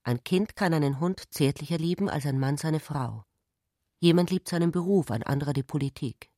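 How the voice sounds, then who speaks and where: A woman's voice: deep voice, moderate pace, mellow, no echo, clean studio sound. A woman giving scripted literary narration in a radio play.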